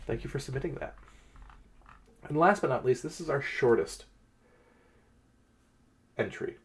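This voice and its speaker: A man hosting and narrating fantasy stories as a game master, theatrical, with weighted pauses. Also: mid-range voice, animated. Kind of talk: dramatic reading and channel presenting.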